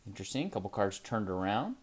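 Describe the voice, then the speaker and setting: A man hosting a casual card-opening video at his desk, chatting excitedly, then trailing off quietly as he looks over each card. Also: fairly low pitch, quick pace, breathy edge. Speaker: trading card channel host. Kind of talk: casual pack-opening commentary. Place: indoor desk with card display. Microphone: camera over the desk, hands only in frame.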